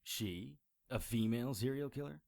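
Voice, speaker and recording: A man's voice: mid-range voice, normal speed, creaky, darkish tone, lightly acted. An actor playing a wry, world-weary private investigator who narrates his own story in a dry, sardonic way. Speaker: narrator and lead. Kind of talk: hardboiled audio drama narration.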